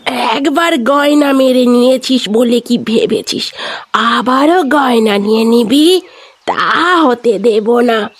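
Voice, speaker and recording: A menacing spirit of a murdered young woman in a horror audio drama. Her voice is very high and slowly paced, with drawn-out, repeated phrases that promise revenge. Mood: gloating menace. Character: vengeful ghost of a murdered young bejewelled woman.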